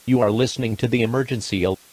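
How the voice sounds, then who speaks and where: A man playing a formal, impersonal emergency alert announcer who reads scripted broadcast notices deliberately. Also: medium pitch, steady tone, a little mellow, natural voice, rough recording.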